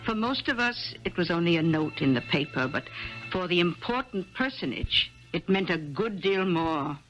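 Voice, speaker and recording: A man's voice: sits high, lively intonation, moderate pace, fairly bright tone. An actor playing a matter-of-fact man looking back on a dead colleague, narrating in character. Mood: detached reflection. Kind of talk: 1970s radio drama narration in character. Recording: dull old radio broadcast with music.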